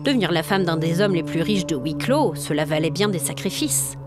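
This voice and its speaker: A woman's voice: lowish voice, lively intonation, a little fast, over music. A woman storyteller reading scripted narration for a dramatic audio tale.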